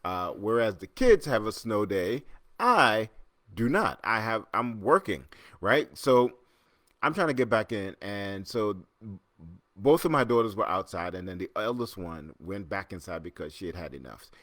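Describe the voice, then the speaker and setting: A middle-aged man from Trinidad talks loosely to his livestream viewers from home, his voice sitting on the lower side and recorded close and dry. He rambles, restarts his sentences and stops to greet commenters by name. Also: big pitch swings, measured pace, fairly bright tone, no room sound. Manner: rambling, chatty, many restarts and fillers, reads comments aloud. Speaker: host. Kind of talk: casual livestream chat. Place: home in New York City.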